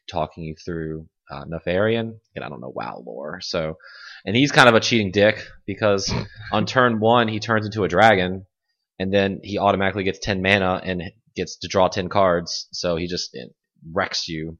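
A man with a very low voice chats casually as a podcast co-host. He leans on fillers and keeps a loose, conversational flow. The recording sounds dull and lo-fi. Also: fairly bright tone. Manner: chatty, filler-heavy, laughs often. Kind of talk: casual chat. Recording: dull lo-fi audio.

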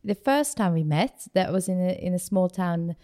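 A woman's voice: lowish voice, lively intonation, normal speed, mellow. A woman from Sweden with a Swedish accent, chatting through a personal story in an interview. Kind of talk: interview conversation.

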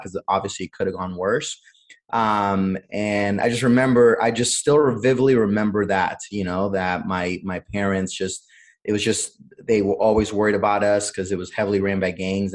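A man in his thirties from Cleveland, born in Honduras, telling his life story as a podcast guest in an earnest way, with many "uh"s and repeated words. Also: low-pitched. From born in Honduras, raised in Cleveland, Ohio. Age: 30s. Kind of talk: candid conversational storytelling.

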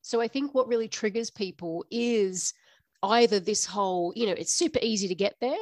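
A woman in her thirties hosting her own podcast, a little nasal and stuffy from the flu, talking frankly and unscripted with a quick laugh now and then. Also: medium pitch, no echo. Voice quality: slightly nasal. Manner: frank, tells it like it is, laughs often. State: stuffy nose after the flu. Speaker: podcast host. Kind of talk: unscripted business podcast monologue.

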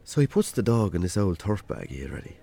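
An actor in a radio drama playing a weary, sardonic Irish farmer with a dry, colloquial, sweary way of talking. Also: male voice, deep voice, very animated, fast, creaky, warm tone, heavily acted. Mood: wry storytelling. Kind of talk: naturalistic radio drama acting.

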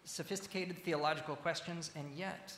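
A man preaching a sermon as a church minister, speaking in measured, deliberate phrases with pauses. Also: fairly high pitch, steady tone, a bit bright. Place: large reverberant church interior. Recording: echoey, reverberant hall with faint noise.

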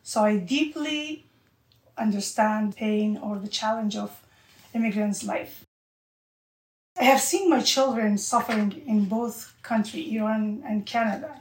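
A middle-aged woman from Afghanistan, a guest speaking earnestly and haltingly in an interview. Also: medium pitch, darkish tone. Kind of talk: unscripted interview answers. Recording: echoey room.

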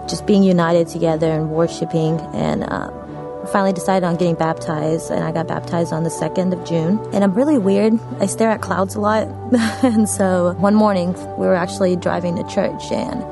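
Soft, creaky woman telling her testimony. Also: fairly low pitch. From Afghanistan. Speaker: church member giving testimony. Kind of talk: personal testimony video. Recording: music playing behind the voice.

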